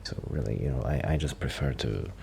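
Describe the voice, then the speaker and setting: A man doing a soft-spoken, half-whispered ASMR roleplay as a shy, quiet musician friend, rambling hesitantly and interrupting himself. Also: extremely low pitch, fairly quick, creaky, a little hiss or hum, full character voice. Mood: modest, resigned.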